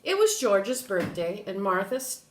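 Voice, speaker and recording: An older woman reading a children's story aloud in an expressive way, with brief asides. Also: medium pitch, a little slow, a little hiss or hum. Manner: expressive, animated. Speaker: storybook reader. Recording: roomy echo.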